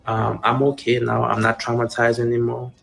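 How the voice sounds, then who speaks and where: A man from Haiti giving earnest personal testimony in a serious, measured way. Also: mid-range voice, completely flat delivery, creaky, fairly bright tone, faint music behind.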